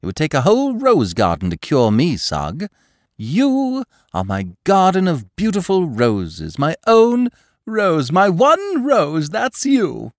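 A flippant, cheerful young aristocrat, as a male reader voices him. He talks in a breezy, teasing, sing-song way, and his pitch swoops widely from phrase to phrase. The recording is dry and close. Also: wildly swooping pitch, normal speed, hardly any pauses, no echo. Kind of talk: audiobook reading.

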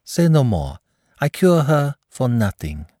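A man's voice: on the low side, expressive, slow, darkish tone, breathy edge, dry recording, clean studio sound, voice pushed up, exaggerated voice. A man reading an audiobook, voicing a young émigré mother who gushes and slips French phrases into her English. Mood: gushing. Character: anxious, effusive young émigré mother, woman.

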